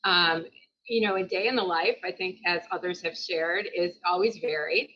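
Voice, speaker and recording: A woman speaking on a virtual panel about her organization's procurement, measured with frequent pauses. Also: lowish voice, slight background noise. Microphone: video call. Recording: dull video-call audio.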